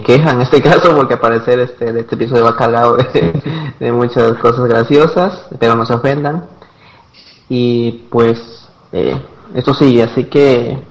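A man's voice: mid-range voice, poor audio. A man co-hosting a casual group podcast, talking in a loose, laughing way. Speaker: podcast co-host.

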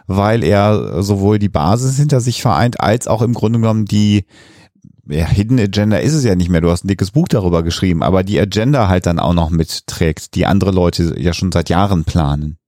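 A man's voice: low-pitched, fairly quick, dark tone, dry recording, clean studio sound. A man hosting a podcast, putting questions to his guest in a conversational interview style.

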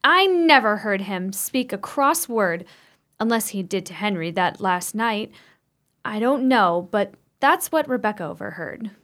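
A woman's voice: medium pitch, animated, measured pace, a bit bright. A woman acting in a radio play as an outspoken, suspicious sister, speaking in an indignant, insistent manner. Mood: suspicious, probing. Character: Emma, outspoken, suspicious woman grieving her brother.

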